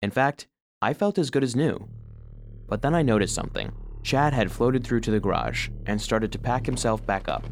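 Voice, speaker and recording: An actor playing an earnest, sensitive household robot who narrates his own story for children in an expressive, heartfelt way. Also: fairly low pitch, lightly acted. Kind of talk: scripted first-person storybook narration for children.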